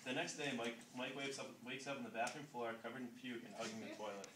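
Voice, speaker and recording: A young man reading scripted narration aloud, haltingly, with some repeated words. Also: medium pitch. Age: young adult. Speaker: narrator of a student radio drama. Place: classroom, indoors. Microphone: distant handheld camera. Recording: echoey, noisy room, distant mic.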